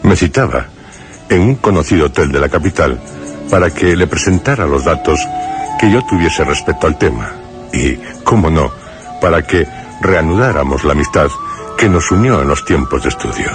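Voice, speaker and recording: An actor playing a grave male narrator in a suspense radio drama, with a measured, literary and solemn delivery. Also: very deep voice, animated, over music. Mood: measured, reflective. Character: grave male narrator recalling a troubled friend in suspense tale.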